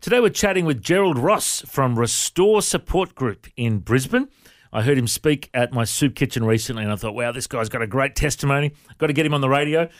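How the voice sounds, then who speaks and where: A man's voice: medium pitch, a little fast, no room sound, clean studio sound. A man hosting a radio interview, asking short, friendly questions. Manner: friendly, brief prompting questions. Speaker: radio show host.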